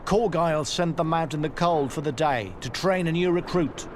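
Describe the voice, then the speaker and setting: An actor playing a cheeky, grumbling builder of a fantasy watch in a video game, full of banter. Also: male voice, a little high, normal speed, noisy background, natural voice. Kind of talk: video game cutscene acting.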